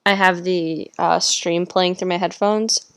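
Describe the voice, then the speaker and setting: A chatty woman cohosts a podcast over a headset mic from home. Her voice is smooth and clear, and the sound is dry and close. She talks casually and drops the odd curse. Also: lowish voice, fairly even intonation, a little slow, no room sound. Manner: chatty, swears casually, explains tech setup. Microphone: headset microphone.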